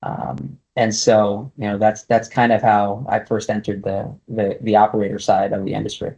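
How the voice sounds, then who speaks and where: A man's voice: lowish voice, level tone, normal speed, vocal fry, mellow, husky, dull audio. A man in his forties, a native Spanish speaker, answering interview questions thoughtfully with many fillers and restarts. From United States (Brooklyn, New York), raised partly in Guatemala. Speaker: casino executive, guest.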